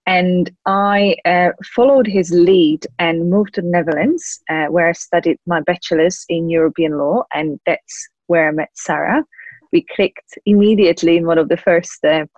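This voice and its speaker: A slightly muffled, dry recording of a young woman with a Czech accent. She speaks as an interview guest in a fairly low voice, explaining things conversationally with frequent pauses. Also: a little mellow, dry recording. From Czech Republic. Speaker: guest, lawyer and compliance officer.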